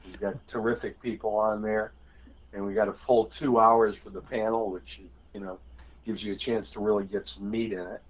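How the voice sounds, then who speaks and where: A man's voice: lowish voice, little pitch movement, normal speed, lo-fi sound. A man guest on a radio talk show, a film panelist, answering in short enthusiastic bursts. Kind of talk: casual radio interview.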